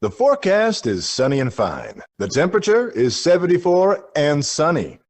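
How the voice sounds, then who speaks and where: A man's voice: a little high, huge pitch swings, normal speed, heavily acted. A man playing a relentlessly upbeat, cheesy news and weather anchorman in a parody radio broadcast for a game, with sing-song delivery. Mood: cheery. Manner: exaggeratedly cheerful, sing-song.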